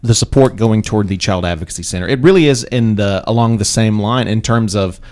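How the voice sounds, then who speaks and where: A man hosts a radio interview in a fairly low voice. He asks his questions haltingly, with plenty of fillers, restarts and an easygoing manner. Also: darkish tone. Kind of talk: casual radio interview talk. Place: radio studio.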